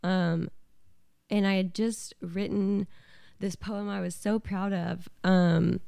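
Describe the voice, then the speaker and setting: A woman's voice: mid-range voice, flat delivery, slow, creaky, mellow, clean tone, no echo, studio quality. A woman chatting informally on a podcast, hesitant and letting phrases trail off as she tells her side. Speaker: musician and podcast co-host. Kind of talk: casual podcast chat. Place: small home living room.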